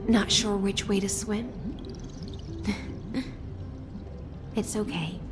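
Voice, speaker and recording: A world-weary woman narrating a video game tale. She is slow, measured and theatrical, melancholic and grand, her phrase endings softening over clear background music. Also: medium pitch. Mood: wistful, teasing.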